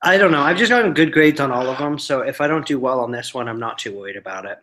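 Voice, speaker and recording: A man's voice: medium pitch, quick pace, a bit bright. A man chatting casually on a video call with a study partner, laid-back and easily distracted, cracking jokes before getting to coursework.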